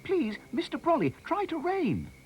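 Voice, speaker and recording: Excitable, very high-pitched little boy. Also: a little slow, faint music behind, heavily acted. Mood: urgent pleading. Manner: shouting, pleading, exclaiming. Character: excitable young boy, earnest and pleading.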